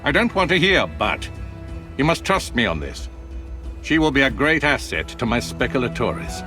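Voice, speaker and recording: An actor playing a confident Roman general and consul, emphatic and authoritative, in a video game. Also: male voice, mid-range voice, sing-song, moderate pace, music in the background, lightly acted. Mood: insistent. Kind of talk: scripted game dialogue.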